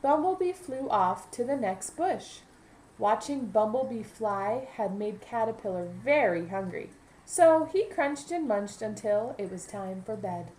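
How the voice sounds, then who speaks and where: A woman's voice: medium pitch, lively intonation, a little slow, a little mellow. Woman teacher reading aloud, noisy recording. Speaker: kindergarten teacher. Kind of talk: practice storybook read-aloud with teaching asides.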